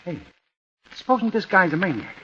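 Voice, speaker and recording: An actor playing a nervous, plain-spoken dinner guest who stammers, in 1940s radio acting. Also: male voice, sits high, sing-song, brisk, sharp tone, full character voice. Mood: nervous, uneasy. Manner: hesitant, stammering, folksy. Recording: dull lo-fi old radio recording with faint hiss.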